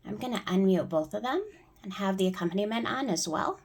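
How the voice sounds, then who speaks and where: A woman's voice: mid-range voice, lively intonation, a little fast. A woman working as a choir director explains things step by step in an informal spoken tutorial.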